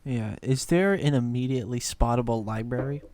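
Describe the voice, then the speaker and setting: Male tabletop player, average pitch, casual conversational game chat. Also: a little fast, darkish tone, a little hiss or hum.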